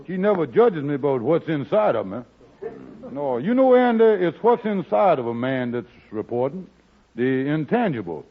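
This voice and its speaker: An actor playing an excitable, work-shy married schemer who blusters and mangles big words in an old radio sitcom. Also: male voice, a little high, moderate pace. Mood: blustering. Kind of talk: 1940s radio sitcom acting. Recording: muffled old radio broadcast.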